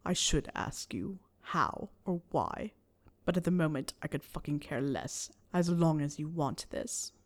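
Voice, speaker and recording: Woman acting older male wizard, low rough growl, hissed half-whispers, slow, commanding, taunting, audiobook character. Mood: menacing, gruff.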